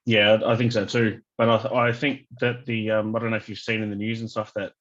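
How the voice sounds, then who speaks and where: A man's voice: lowish voice, level tone, fairly quick, a bit bright, muffled recording. A man from Australia with a New Zealand-tinged accent, hosting a casual interview in a hesitant, deferential manner. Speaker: interviewer, fan page host.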